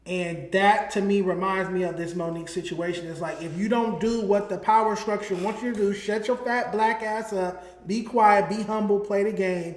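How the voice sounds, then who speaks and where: A man's voice: sits high, fairly even intonation, normal speed, hardly any pauses. A man co-hosting a hip-hop talk show. He talks in a heated, emphatic way and. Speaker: podcast host and hip-hop critic. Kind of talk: opinionated casual talk. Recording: roomy echo.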